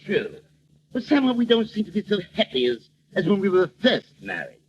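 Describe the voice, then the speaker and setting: An actor playing a doting, plaintive rich husband in a melodramatic old-time radio comedy. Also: male voice, high voice, wildly swooping pitch, a little fast. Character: rich, needy, doting husband, silly and pleading. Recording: muffled, hissy old radio broadcast.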